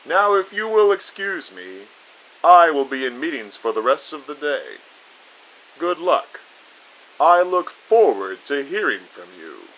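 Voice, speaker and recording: Bright, formal, reassuring spy boss played by a man. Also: a little high, very animated, dull audio. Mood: encouraging. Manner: formal, reassuring, measured wording. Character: genteel, encouraging male spy agency boss. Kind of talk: audio drama acting.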